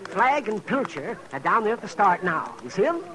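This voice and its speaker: An actor playing an excitable, folksy frontier town doctor in a 1950s radio western. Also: male voice, high voice, normal speed, a crowd in the background. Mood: chatty, eager. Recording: noisy old radio recording.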